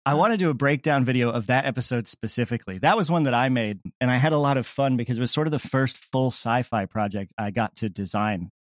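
A man's voice: medium pitch, quick pace, few pauses, dry recording, studio quality. A man chatting casually as an interview guest, explaining creative work in a reflective way.

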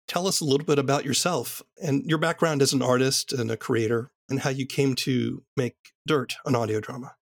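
A man's voice: mid-range voice, no room sound, studio quality. A man hosting an interview podcast, moving between scripted narration and questions for his guest.

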